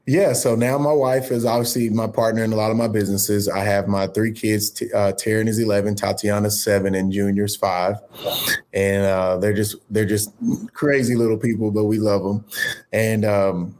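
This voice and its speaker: Upbeat, motivational man with a lowish voice. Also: a little hiss or hum. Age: late 30s. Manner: energetic, motivational, quick bursts of emphasis. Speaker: guest, entrepreneur. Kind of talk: conversational interview storytelling.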